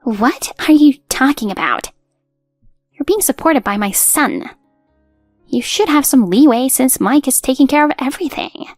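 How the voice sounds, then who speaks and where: Woman putting on a haughty, high-pitched older mother-in-law. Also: very animated, measured pace, no room sound, faint music behind, heavily acted. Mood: scornful, indignant. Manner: haughty, demanding, scolding. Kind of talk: scripted story dialogue.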